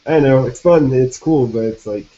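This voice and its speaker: A laid-back man from Virginia who talks in easy slang on a live stream. His voice is mellow and warm, at an ordinary pitch. The audio is somewhat dull, like an online call. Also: normal speed. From Virginia, USA. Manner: laid-back slangy talk, says "super duper" and "dog". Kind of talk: casual live-stream chat.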